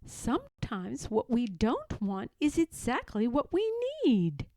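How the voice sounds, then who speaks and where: A woman voicing a calm, wise woman artist in a storybook, advising in a measured manner. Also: a little high, slight background noise, exaggerated voice. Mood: wise, reassuring. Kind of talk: storybook character voice.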